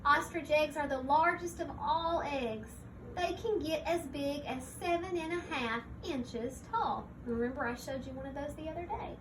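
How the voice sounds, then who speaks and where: A woman teacher reading a picture book aloud to young children, pausing to explain things simply and point them out. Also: high-pitched, noisy background.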